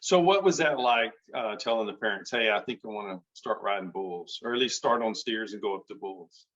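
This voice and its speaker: A man's voice: lowish voice, a little fast, fairly bright tone. A man hosting a casual interview, friendly and enthusiastic, often repeating and restarting his words. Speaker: host. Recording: dull lo-fi sound.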